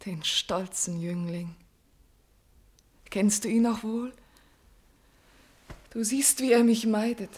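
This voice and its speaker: An actress playing a proud, sorrowful princess in a classical verse radio drama, speaking in a solemn, deliberate, declamatory way. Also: female voice, mid-range voice, darkish tone. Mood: doubtful. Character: proud sorrowful captive princess of royal blood, secretly in love.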